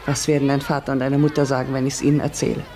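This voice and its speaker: An actress playing a village schoolteacher in a German radio drama, articulate and measured, stern yet gentle. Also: female voice, extremely low pitch, flat delivery, a faint crowd. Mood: probing, gentle. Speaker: actor in a radio play. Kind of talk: German radio drama, narration and classroom dialogue.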